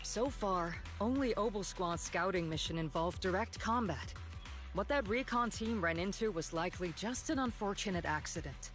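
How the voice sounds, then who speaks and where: A woman playing a shrewd, composed colonel in a video game, speaking formally and deliberately with authority. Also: mid-range voice, lively intonation, soft background music, close to an everyday voice. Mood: composed, resolute.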